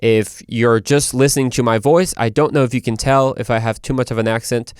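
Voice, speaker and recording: Casual male host, medium pitch, crisp voice, chatty self-interrupting delivery to listeners. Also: fairly even intonation, fairly quick, no room sound, studio quality. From Guadalajara, Mexico. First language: Spanish. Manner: informal, conversational, self-interrupting. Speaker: show host.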